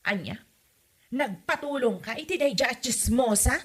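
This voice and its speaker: A woman's voice: fairly high pitch, lively intonation, moderate pace, breathy edge. A woman playing a nagging, suspicious middle-aged mother in an audio comedy drama, with an Ilocano Filipino accent and a scolding, questioning manner. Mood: prying, motherly.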